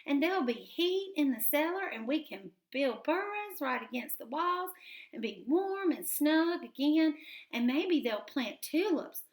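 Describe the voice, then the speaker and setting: A woman voicing an excitable, sensitive little male field mouse who talks in a breathless rush. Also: sits high, unhurried, echoey room, voice pushed up.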